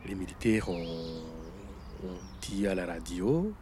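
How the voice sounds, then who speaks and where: A middle-aged man with a Rwandan accent, recounting his own life reflectively in short, deliberate phrases. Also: deep voice, expressive, warm tone, a little hiss or hum. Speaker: interviewee, survivor giving testimony. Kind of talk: reflective personal testimony.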